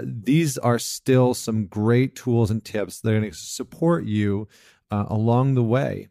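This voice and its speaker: A man's voice: mid-range voice, mellow, no echo, studio quality. A man hosting a solo talk show, speaking in a reflective, earnest way with fillers and restarts. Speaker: podcast host, men's coach. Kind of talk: casual solo talk with a read passage.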